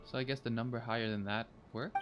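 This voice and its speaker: A woman's voice: very deep voice, steady tone, quick pace, dull audio. A woman streaming a game, reacting casually and chatting with viewers. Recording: loud game music behind voice. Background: game music.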